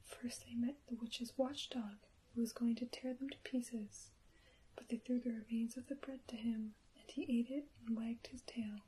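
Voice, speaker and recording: A woman reading a bedtime story for ASMR in a soft, breathy, careful whisper. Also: fairly high pitch, steady tone, normal speed, a little hiss or hum, low-quality recording.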